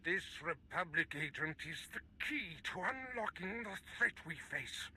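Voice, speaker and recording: An actor playing a menacing, imperious Sith master in a video game, with a gravelly, grandiose delivery. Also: male voice, sits high, lively intonation, a little slow, pushed and bright, slight background noise, full character voice. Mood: frustrated rage. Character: menacing theatrical Sith lord master, raging and scornful.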